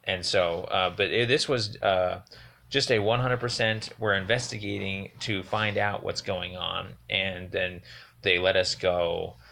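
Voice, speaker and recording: A man on a phone call, giving a rambling, earnest and halting account of his complaint as a caller. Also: low-pitched, fairly even intonation, creaky, sharp tone, slight background noise. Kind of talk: phone conversation, explanatory account.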